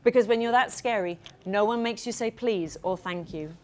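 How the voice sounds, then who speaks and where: A woman's voice: mid-range voice, normal speed, a little mellow, a little hiss or hum, natural voice. Woman playing a fearsome, snappy sea reptile. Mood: menacing. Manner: menacing, snappy. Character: fearsome hungry Kronosaurus, bossy male sea reptile.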